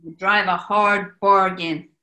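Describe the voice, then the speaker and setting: A woman's voice: mid-range voice, fairly even intonation, takes it slowly, bright, forceful tone. An actress playing a brash, sardonic old New York landlady haggling over shabby rooms in a 1940s-style radio comedy. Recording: echoey room.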